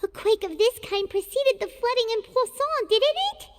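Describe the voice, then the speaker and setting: An actress voicing a tiny, childlike companion creature, perky, earnest and chatty, in a video game. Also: female voice, very high-pitched, moderate pace, in a large hall. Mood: reassuring.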